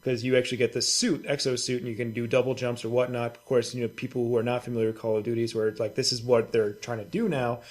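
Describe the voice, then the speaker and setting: A man's voice: mid-range voice, flat delivery, quick pace, few pauses. A man with a mid-range voice co-hosts a gaming podcast in loose, casual chat. He is crude and self-deprecating, joking and swearing freely. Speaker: podcast co-host. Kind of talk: casual banter about video games.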